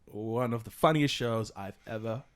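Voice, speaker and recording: A man's voice: fairly low pitch, moderate pace. A man co-hosting a podcast, chatting casually and rambling through jokes. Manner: joking, rambling, laughs often. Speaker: podcast co-host. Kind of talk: casual comedic chat.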